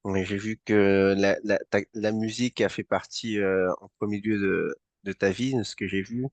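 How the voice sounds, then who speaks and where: Low-voiced male host, halting. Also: fairly even intonation, dry recording. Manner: hesitant, halting questions with many fillers. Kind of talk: interview hosting.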